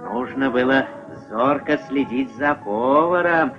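A man narrating a puppet-film fairy tale as a wry, measured, expressive storyteller. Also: mid-range voice, close to an everyday voice. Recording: dull old film soundtrack, music behind.

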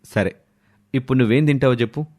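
A man voicing a kind, doting village husband and father in a storybook tale, gentle and reassuring. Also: mid-range voice, fast, lightly acted. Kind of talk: animated storybook character acting.

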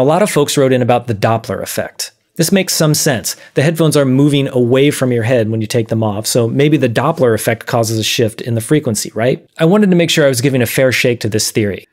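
A man in his thirties presenting an explanatory video lesson in a measured, methodical way. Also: mid-range voice, darkish tone. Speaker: presenter of an audio science series. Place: small dim room, indoors.

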